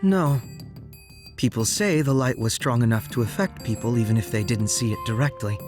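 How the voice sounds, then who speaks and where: Calm, methodical actor as a young ninja. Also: medium pitch, normal speed, lightly acted. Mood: thoughtful. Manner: orderly, explanatory. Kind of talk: English anime game dub acting. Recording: music clearly behind.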